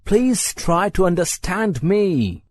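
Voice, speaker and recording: A woman's voice: fairly low pitch, very animated, slow, darkish tone, no room sound, pitched below the performer's own voice, exaggerated voice. A woman reading a story voices a courteous, eager foreign businessman who keeps pressing his offer. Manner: courteous, coaxing.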